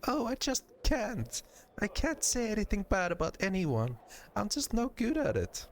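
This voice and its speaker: A man's voice: sits high, huge pitch swings, normal speed, slight background noise, voice pushed up, exaggerated voice. A man voicing a tiny, timid, too-nice young woman from a game, with a hesitant, gushing manner. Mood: reluctant, flustered. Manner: hesitant, gushing, exaggerated when scolding.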